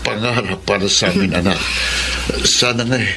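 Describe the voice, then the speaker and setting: Actor as ailing middle-aged father, low pitch, gentle and stammering; radio drama, noisy ambience. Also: natural voice. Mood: weak, grateful. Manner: halting, stammering delivery.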